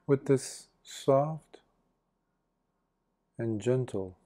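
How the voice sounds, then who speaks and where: Man, guided meditation teacher, very unhurried, soft dark tone, gentle phrasing, long pauses. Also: medium pitch. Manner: gentle, hushed instruction with long pauses between short phrases. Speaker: Buddhist monk leading guided meditation.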